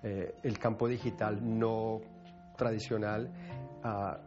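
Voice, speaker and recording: Man, low-ish voice, halting, restarts, interview, music behind. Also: flat delivery. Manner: halting, many restarts, gesturing. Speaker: tech group founder. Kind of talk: documentary interview. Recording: slightly dull audio with music.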